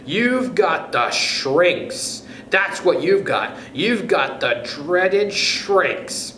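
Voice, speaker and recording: A man voicing a gleefully cruel, scheming husband from a children's storybook, taunting with drawn-out emphasis. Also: high voice, very animated, pushed and bright, reverberant, slight background noise, voice pushed up, exaggerated voice.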